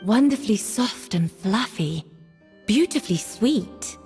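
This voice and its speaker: A woman's voice: mid-range voice, expressive, unhurried, darkish tone. A woman voicing an effusive, discerning food judge in a video game, giving grand, emphatic verdicts. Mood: delighted. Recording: long reverb, faint music behind.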